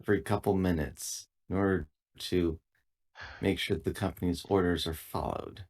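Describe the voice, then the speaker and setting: Low voice, player acting as polite android, formal, procedural, insistent, tabletop roleplay. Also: fairly even intonation, normal speed, a little mellow. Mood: dutiful, insistent. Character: polite, rule-bound android shipmate, relentless reminder.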